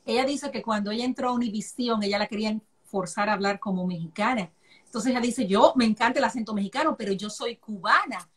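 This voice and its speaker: Woman, interview host, chatty, agreeable, restarts sentences, fairly high voice, video call. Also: quick pace, breathy edge, distant mic. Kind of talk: conversational interview.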